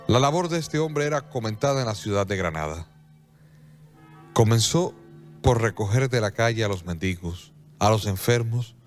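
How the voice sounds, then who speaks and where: Low-voiced man narrating a radio drama. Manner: solemn storytelling, measured dramatic pauses.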